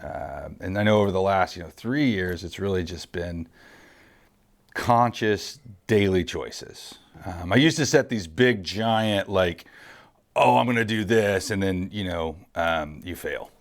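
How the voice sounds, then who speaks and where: A man's voice: low voice, a little slow. A man in his early forties hosting a casual interview, rambling and correcting himself, laughing easily. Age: 40s. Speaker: host.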